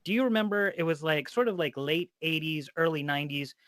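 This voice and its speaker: Man, higher pitch, rambling casual interviewer, middle-aged, dry close sound. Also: brisk, a bit bright, no room sound. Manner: rambling, profane.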